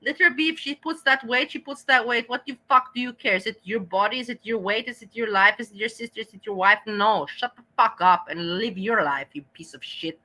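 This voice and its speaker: A woman's voice: a little high, fairly quick, hardly any pauses, sharp tone, low-quality recording. A woman with an Austrian accent giving a profane, scolding rant while reacting to clips. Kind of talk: ranting reaction commentary.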